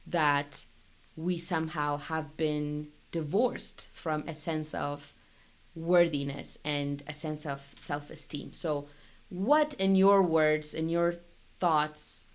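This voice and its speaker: A woman with a low, grounded voice hosts a heartfelt conversation. She speaks thoughtfully and searchingly, pausing often and filling gaps as she works out her ideas. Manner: thoughtful, searching, with frequent fillers. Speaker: podcast host. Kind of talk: reflective conversational interview.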